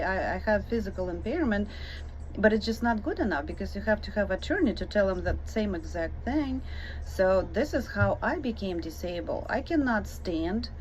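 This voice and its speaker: A woman's voice: medium pitch, darkish tone. A middle-aged woman from Ukraine with a Ukrainian accent, speaking emphatically and indignantly straight to the viewer in a rebuttal vlog. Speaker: vlogger answering a comment. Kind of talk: direct-to-camera rebuttal. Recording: dull lo-fi audio with faint noise.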